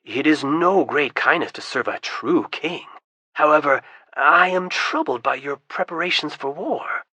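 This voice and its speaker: A reader voicing a composed, courteous wizard adventurer who speaks smoothly and persuasively. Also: mid-range voice, expressive, unhurried, pushed and bright, no echo, heavily acted. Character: calm, smooth-talking adult wizard adventurer.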